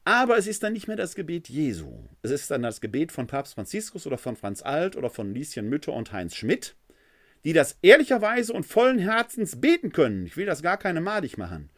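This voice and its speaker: A middle-aged man lectures on theology in an argumentative, emphatic way, marking each point as he goes. His voice sits a little high for a man and swings widely in pitch, rising and dropping as he presses his case. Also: huge pitch swings, a little fast, a bit bright.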